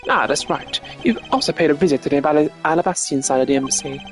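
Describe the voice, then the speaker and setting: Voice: medium pitch, quick pace, faint music behind, close to an everyday voice. A performer voicing a courteous, earnest male ambassador who is easily flustered, in a video game. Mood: helpful. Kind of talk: video game character voice-over.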